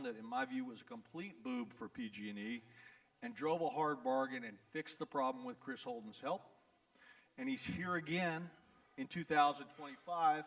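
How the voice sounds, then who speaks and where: A man's voice: fairly high pitch, fairly bright tone, far from the mic. A man with a Texas accent, an attorney speaking bluntly and folksily to residents at a town hall, with jokes and a repeated "the bottom line is". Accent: Texan. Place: event venue in front of an audience.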